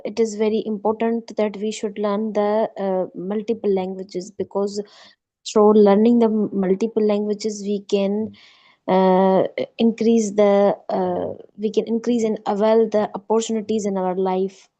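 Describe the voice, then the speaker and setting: Flat-toned Sindhi-speaking woman student. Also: medium pitch, measured pace, dry recording. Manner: polite, often says "ma'am", fillers and restarts. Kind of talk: online class speaking practice.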